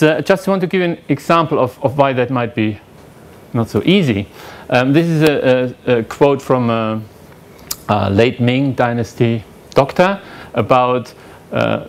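German guy giving a conference talk at a lectern, chatty, asks the room questions, average pitch. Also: measured pace. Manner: conversational, questioning the audience. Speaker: conference speaker. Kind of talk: academic lecture. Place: lecture room with audience, indoors.

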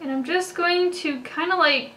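A young woman with a high, bright voice talks through a makeup tutorial. She is a little raspy after hiccups and chatty, with casual fillers everywhere, recorded indoors close to the camera. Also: normal speed. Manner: chatty, many "like" and "kinda" fillers. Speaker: beauty vlogger. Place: indoors before a plain backdrop.

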